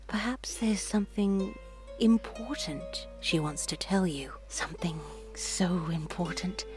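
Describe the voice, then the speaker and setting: A woman voicing a gentle, pious older nun for a visual novel, solemn and reassuring in a measured, hushed, breathy delivery. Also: mid-range voice, close to an everyday voice. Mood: gentle, sympathetic. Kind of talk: visual novel dialogue read aloud.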